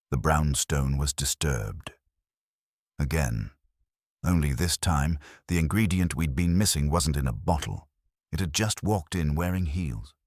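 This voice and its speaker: A man tells a first-person hardboiled mystery with a wry, wisecracking edge. His voice is extremely deep yet moves lively through its pitch. The recording is close and dry. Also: no echo. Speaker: narrator.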